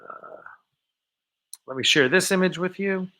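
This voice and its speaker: A man hosting a casual, unscripted livestream, talking in a halting, rambling way and often interrupting himself. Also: high voice, bright, forceful tone. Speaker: livestream host.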